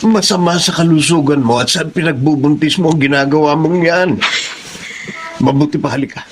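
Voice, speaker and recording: An actor playing a middle-aged, protective, kindhearted uncle with urgent, concerned radio melodrama delivery. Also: male voice, fairly high pitch, normal speed, noisy background, natural voice. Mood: alarmed concern. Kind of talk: Tagalog radio melodrama acting.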